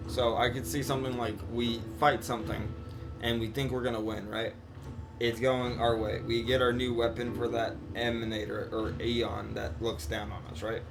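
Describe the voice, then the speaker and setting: A man's voice: mid-range voice, flat delivery, moderate pace, gravelly. A man chatting casually on a live game stream, rambling and slangy as he talks through his thoughts. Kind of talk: casual live stream chat recapping and theorizing. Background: game music.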